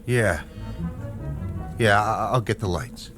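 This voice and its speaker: Museum guard, somewhat low, casual, terse, uneasy, varied pitch, vintage drama recording. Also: male voice, lively intonation, slow pace, fairly bright tone, soft background music. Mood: baffled, uneasy. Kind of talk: mid-century television drama acting.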